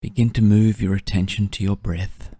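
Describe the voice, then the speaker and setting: A man guiding a meditation in a soft, breathy, soothing voice, gently and unhurriedly, with long pauses. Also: lowish voice, little pitch movement, no echo, studio quality. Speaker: meditation guide. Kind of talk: guided meditation narration.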